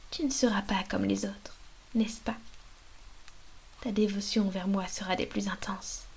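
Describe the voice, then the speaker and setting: A woman voicing a manipulative female vampire mistress in an ASMR roleplay, talking in a slow, coaxing, breathy whisper into a binaural microphone. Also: mid-range voice, a little mellow, close to an everyday voice. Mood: possessive. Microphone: binaural dummy-head mic.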